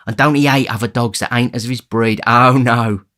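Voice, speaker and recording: A man voicing a cocky, boastful boy pickpocket who talks in slang, raised high and loud above his narrating voice. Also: steady tone, brisk, lightly acted.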